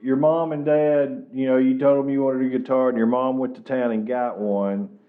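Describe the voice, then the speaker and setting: A man's voice: mid-range voice, flat delivery, moderate pace. Male interviewer, brief questions, narrow tinny sound. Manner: brief, prompting follow-up questions.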